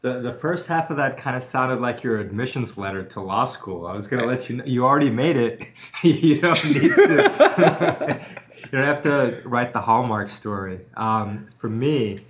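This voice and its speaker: A man's voice: medium pitch, animated, moderate pace. A man co-hosting a casual podcast about lawyering, telling stories in a joking way and laughing often. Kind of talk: casual chat.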